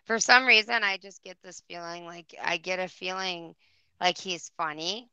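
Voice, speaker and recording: A woman with a hoarse voice giving a tarot reading on air, rambling and searching for words. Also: lowish voice, steady tone, moderate pace, sharp tone, no echo, poor audio. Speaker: psychic reader.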